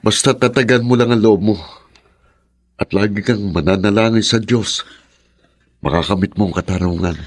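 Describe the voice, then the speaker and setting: A man's voice: on the low side, moderate pace, long pauses, natural voice. Man playing an earnest, protective young friend. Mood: reassuring. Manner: earnest, reassuring. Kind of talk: Filipino radio drama acting.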